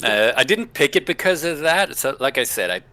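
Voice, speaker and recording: A man with a slight Swedish accent chats casually during a tabletop game. His voice is bright and sharp at an average pitch. He tends to start hesitantly, repeating his first words. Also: big pitch swings, fairly quick. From Sweden. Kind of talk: casual chat.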